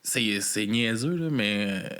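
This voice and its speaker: A man's voice: lowish voice, animated, takes it slowly, fairly bright tone. Chatty Québécois man hosting an interview. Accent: Québécois French. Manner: rambling, filler-heavy, swears casually. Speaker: podcast host. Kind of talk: casual conversational interview.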